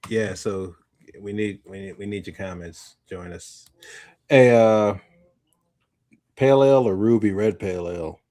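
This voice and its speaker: A man with a fairly low voice chats as co-host of a live podcast. His delivery is informal and conversational, and he tends to ramble, backing up to correct his wording as he explains things. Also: unhurried, pauses often, dark tone. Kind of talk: casual live podcast chat.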